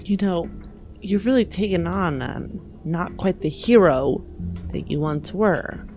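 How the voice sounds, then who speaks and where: A woman playing an earnest, protective technomancer in a science fiction tabletop game, speaking with measured, hesitant pauses. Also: lowish voice, expressive, darkish tone, faint music behind. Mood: firm, uneasy. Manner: measured, hesitant pauses, pleading yet firm.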